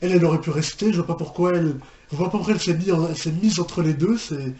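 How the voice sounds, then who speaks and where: A young man giving unscripted reaction commentary, halting and repeating himself in an incredulous way. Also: fairly high pitch, dark tone. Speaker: reaction video host. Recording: echoey room with background noise.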